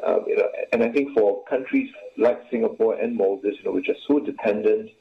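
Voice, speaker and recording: A professor joining an interview over a remote link, talking haltingly and filling with "you know" constantly. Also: male voice, lowish voice, creaky. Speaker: professor, guest expert. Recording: tinny phone-like line.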